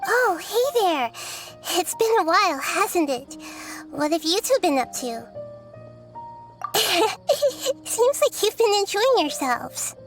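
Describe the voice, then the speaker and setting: Soft high little girl goddess, calm and wise, faint music behind. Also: takes it slowly, full character voice. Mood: gentle. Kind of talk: game character dialogue.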